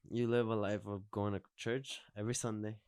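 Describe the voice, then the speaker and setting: A man's voice: fairly low pitch, flat delivery, brisk, a little mellow, clean tone, no room sound, studio quality. A man in his twenties hosting a podcast, chatting casually, rambling and restarting his sentences. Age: 20s. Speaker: podcast host. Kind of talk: casual chat.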